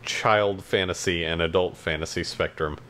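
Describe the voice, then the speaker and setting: A man's voice: sits low, steady tone, moderate pace, a bit bright, a little hiss or hum. A man co-hosting a podcast in casual chat, matter-of-fact and questioning, feeling his way through sentences. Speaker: podcast co-host.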